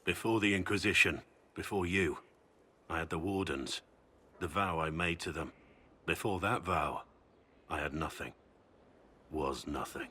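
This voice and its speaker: An actor playing a solemn, devoted middle-aged warrior in a video game, speaking earnestly and gravely. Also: male voice, low-pitched, unhurried, long pauses, sharp tone, slight background noise.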